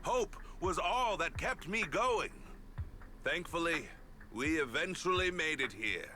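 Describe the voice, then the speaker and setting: A man's voice: fairly high pitch, lively intonation, slow pace, pushed and bright, slight background noise, exaggerated voice. An actor playing a cynical, scheming male politician in a video game, able to sound nobly declamatory or curt and irritable. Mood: earnest, declamatory. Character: cynical male politician rehearsing a noble speech, scheming behind it. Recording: dramatic music under the voice.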